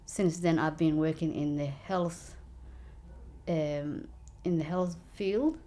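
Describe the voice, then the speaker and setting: A woman, telling her story in an interview with an earnest, halting manner and many fillers. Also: deep voice, warm tone. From Somalia. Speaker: local election candidate.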